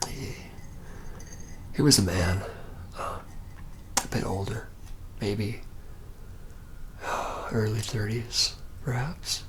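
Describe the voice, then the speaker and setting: A man playing a reluctant, jaded young male vampire in a whispered ASMR roleplay, speaking haltingly with a wry, deadpan manner. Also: fairly low pitch, slight background noise. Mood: hesitant, reminiscing. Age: young adult. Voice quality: breathy whisper with gravelly creak.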